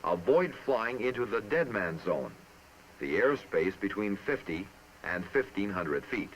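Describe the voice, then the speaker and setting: A man narrating a military training film in a formal, measured, instructive manner, reading from a script. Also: on the low side, very animated. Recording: muffled, noisy old film soundtrack.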